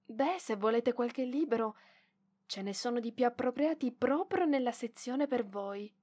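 A woman's voice: on the high side, a little slow, warm tone. A woman voicing a proud young librarian in an ASMR roleplay, defensive and bashful, with hesitant stammering. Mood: awkward, kind. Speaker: voice actress.

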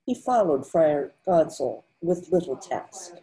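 An older woman reading scripted storybook narration in a measured, formal manner. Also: low voice, animated, dark tone, poor audio. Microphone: computer mic on a video call.

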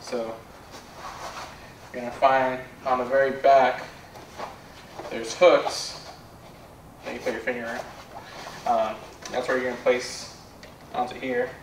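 A young man giving a casual product demonstration in a plainspoken, low-key way, recorded indoors on a distant camera mic. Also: level tone, slow pace, pauses often. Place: bare indoor room with carpet. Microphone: far camera. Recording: roomy echo, distant mic, background noise.

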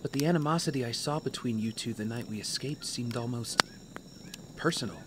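A man's voice: mid-range voice, fairly quick, natural voice. An actor playing an earnest, self-doubting young man in training, conversational and a little hesitant, in a naturalistic audio drama. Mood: curious, careful. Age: young adult. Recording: clear cricket and outdoor ambience noise.

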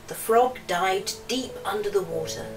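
A woman softly narrating a children's storybook aloud. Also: medium pitch, measured pace. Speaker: storybook reader. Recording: distant mic, music behind the voice. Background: music.

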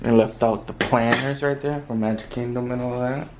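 A young man giving a joking, relaxed tour of a small apartment for a vlog. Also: mid-range voice, normal speed. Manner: joking, relaxed, plays host. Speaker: vlogger. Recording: noisy handheld home video.

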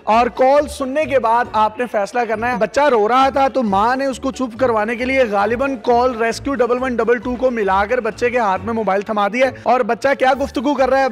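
A man in his thirties with a Pakistani accent, presenting and interviewing playfully as a reporter on a handheld mic. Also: very high voice. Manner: playful, teasing. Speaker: reporter hosting a segment. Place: emergency call-centre control room. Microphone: handheld reporter microphone. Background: background music.

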